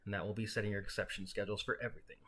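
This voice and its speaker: A man casually walking the listener through software setup steps in an explanatory tutorial style. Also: fairly low pitch, flat delivery, racing. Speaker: software instructor. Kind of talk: step-by-step software tutorial narration. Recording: clearly noisy background with clicking.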